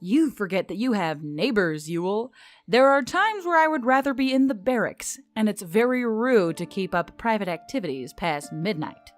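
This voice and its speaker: A man voicing a fussy, high-voiced woman. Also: expressive, moderate pace, faint music behind. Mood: indignant. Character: fussy, irritable military woman.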